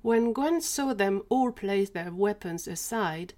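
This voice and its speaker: A woman's voice: mid-range voice, expressive, takes it slowly, a little mellow. A woman narrating a scripted fiction story as the narrator of an audio drama.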